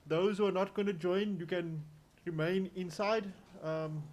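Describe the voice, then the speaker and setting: A man with a South African accent acting as master of ceremonies, giving relaxed, halting instructions inside an event tent. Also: sits high. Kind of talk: event announcements.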